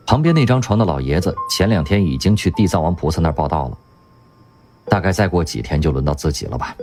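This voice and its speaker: Deep-voiced male drama narrator. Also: a little mellow. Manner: storytelling, wry asides. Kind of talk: scripted audiobook-style narration.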